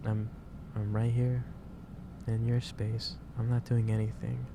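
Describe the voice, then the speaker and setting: A man playing a gentle, caring office boss in a soft-spoken ASMR roleplay, talking in a halting, reassuring way. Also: medium pitch, completely flat delivery. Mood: patient, caring. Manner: halting, reassuring, unhurried delivery. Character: gentle, caring male office boss lecturing an overworked employee. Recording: clearly noisy background ambience.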